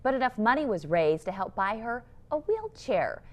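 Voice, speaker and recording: A woman's voice: on the high side, big pitch swings, normal speed. A woman anchoring a TV newscast, reading scripted stories and teases. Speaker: TV news anchor. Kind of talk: scripted news presenting.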